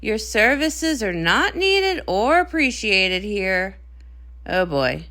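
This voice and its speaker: A woman voicing a grumpy, anxious young pony princess, a tomboy sister with a flat, sardonic, reluctant way of talking. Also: mid-range voice, slow, pitched below the performer's own voice, full character voice. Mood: irritated.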